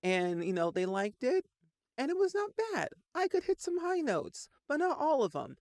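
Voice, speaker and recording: A man's voice: extremely high pitch, wildly swooping pitch, moderate pace, a little mellow. A man in his late twenties telling a personal story in a casual, rambling way, full of "like" fillers. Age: 20s. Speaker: Sims content creator.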